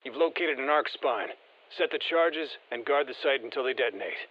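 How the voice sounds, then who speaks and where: An actor playing an easygoing special forces operator in a video game, giving colloquial, wry mission instructions over a radio. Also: male voice, medium pitch, lively intonation, moderate pace, highs cut off. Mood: wry, focused. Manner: colloquial, wry, plain-spoken.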